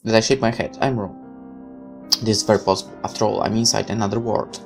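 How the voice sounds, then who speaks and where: A man reading a visual novel aloud as a let's player, in expressive narration broken up by casual asides. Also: lowish voice, fast, creaky, soft background music.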